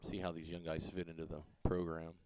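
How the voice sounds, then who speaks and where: Deep-voiced, fast-talking baseball coach. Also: male voice, clear, resonant tone, no room sound. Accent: Missouri. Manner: relaxed, matter-of-fact, lots of "uh" and "you know". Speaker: high school baseball coach. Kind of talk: casual pregame interview. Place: press box at a ballfield.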